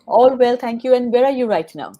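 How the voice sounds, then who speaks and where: A woman hosting an interview show, opening with a scripted introduction and then asking her guest measured, earnest questions. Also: on the high side, animated, lo-fi sound. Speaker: podcast host.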